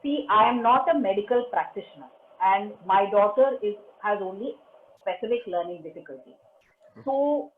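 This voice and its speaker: A woman's voice: mid-range voice, animated, normal speed, slight background noise. A woman with an Indian English accent giving firm, direct advice to parents on a group call. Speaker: parent support group speaker. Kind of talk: answering questions on a call. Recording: tinny phone-like call audio.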